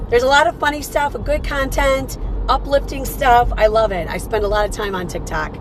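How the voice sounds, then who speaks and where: A woman hosting a casual morning livestream, chatty and upbeat, her voice slightly raspy from a cold. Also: a little high, normal speed, slight background noise. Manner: upbeat, chatty, greets viewers by name. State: fighting off a cold. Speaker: livestream host.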